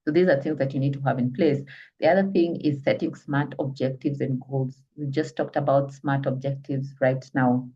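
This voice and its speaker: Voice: medium pitch, steady tone, normal speed, dark tone, breathy edge. A business trainer with a Kenyan accent gives an online lecture, explaining step by step and often asking listeners if they follow. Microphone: video call. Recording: distant, muffled call audio.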